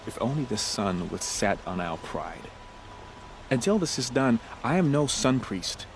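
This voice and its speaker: An actor playing a humble, remorseful priest in a video game, speaking in a measured, formal, earnest way. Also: male voice, medium pitch, sing-song, slight background noise, rough recording. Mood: regretful. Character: solemn, grieving young priest, humble and remorseful.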